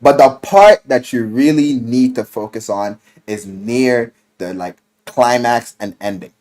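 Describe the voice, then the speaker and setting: A man giving a casual, enthusiastic recap of a story, animated and slangy, swearing freely and acting out the quotes. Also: mid-range voice, a little slow. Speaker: video game and visual novel reviewer. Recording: music playing behind the voice. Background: music.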